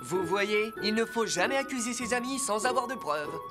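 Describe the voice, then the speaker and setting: A woman voicing a calm, responsible rescue car leader in a dubbed children's cartoon, explaining things reassuringly. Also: mid-range voice, moderate pace, lightly acted. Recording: music underneath.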